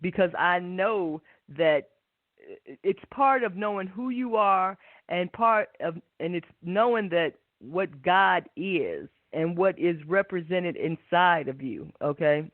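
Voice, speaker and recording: A woman hosting a spiritual talk and guided meditation, speaking in an unhurried, reflective way and often checking for agreement. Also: lowish voice, lively intonation, no echo. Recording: tinny narrow phone-like line.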